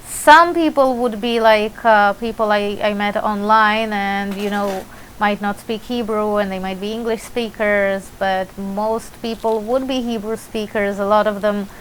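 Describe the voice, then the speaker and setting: A woman's voice: mid-range voice, fairly even intonation, unhurried, slight background noise, poor audio. A young woman with an Israeli accent, talking to camera in a casual vlog, full of hesitations and restarts. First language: Russian. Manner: hesitant, many fillers and restarts, light laughter. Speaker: vlogger.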